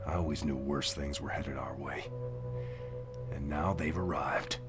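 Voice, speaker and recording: Casting: grizzled older occult hunter, extremely low voice, folksy and foreboding, game cutscene over prominent music. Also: male voice, steady tone, measured pace. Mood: brooding. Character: grizzled, wary older man, seasoned occult investigator. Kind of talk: dramatic game cutscene monologue.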